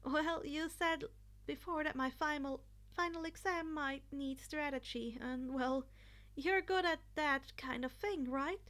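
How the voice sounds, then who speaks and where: Woman voicing nervous, high-voiced, stammering teenage boy. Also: a bit bright. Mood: nervous, grateful. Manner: halting, stammering, sheepish. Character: Kaminari, nervous, grateful teenage boy classmate. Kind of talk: acted fan fiction audiobook dialogue.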